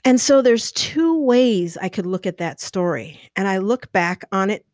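Animated woman telling a story, dry close mic. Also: measured pace, dry recording. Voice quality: mezzo-alto singer's lower voice. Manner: animated, gestures with her hands, acts out voices in her anecdotes. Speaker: podcast host telling a personal story. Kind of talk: casual storytelling. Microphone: podcast microphone, close.

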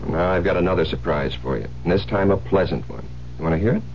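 Domineering husband, low-voiced, vintage radio. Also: male voice, animated, fast talker, a little mellow. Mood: persuasive. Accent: American. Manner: insistent, pressing, interrogating. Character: domineering, suspicious psychiatrist husband on honeymoon. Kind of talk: 1970s radio drama acting. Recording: muffled, hissy old radio broadcast with hum.

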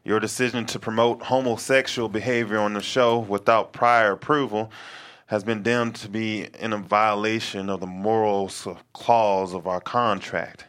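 A man reads a cold, businesslike station boss with a lowish voice. He speaks with clipped smugness, a table-read script voice rather than a full performance. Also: level tone, normal speed, a bit bright, smooth, lightly acted. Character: curt male station executive on the phone.

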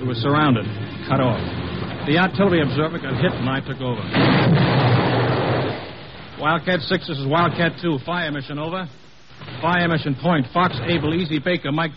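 Actor as earnest army lieutenant, male, higher-set voice, plain-spoken radio-drama storyteller, dulled vintage broadcast with music behind. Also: normal speed, long pauses, lightly acted. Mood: grim, reflective, then urgent. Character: plain-spoken Texan army lieutenant recounting his war. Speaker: narrator and lead actor. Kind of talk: 1950s radio drama narration and acting. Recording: dull old radio recording with music.

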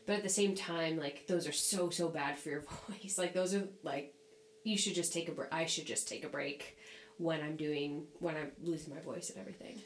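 A woman's voice: low-pitched, normal speed, echoey room, a little hiss or hum. Chatty woman with a slightly nasal voice. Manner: chatty, animated, laughs often, many "like"s. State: slightly nasal from recent sickness. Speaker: podcast guest, singer. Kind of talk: casual conversation.

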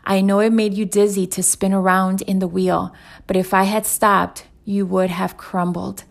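Woman as a patient master potter, creaky. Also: mid-range voice, flat delivery, moderate pace, close to an everyday voice. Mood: gently explaining. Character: patient male master potter, gentle and firm. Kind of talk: inspirational parable read aloud.

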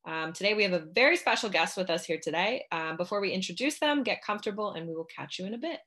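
A woman's voice: medium pitch, expressive, quick pace, pushed and bright. A young woman hosting a sports podcast, interviewing a guest in a casual, chatty way full of "um" and "like". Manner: chatty, many fillers like "um" and "like". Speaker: podcast host.

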